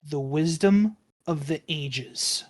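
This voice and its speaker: A woman playing an earnest young man, a solemn hero in a tabletop game, speaking in deliberate phrases. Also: low-pitched, a little mellow, pitched below the performer's own voice. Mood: urgent, solemn.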